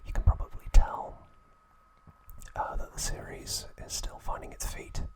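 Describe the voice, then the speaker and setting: A man narrating in a hushed, breathy ASMR whisper, in short phrases with pauses. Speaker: ASMR host.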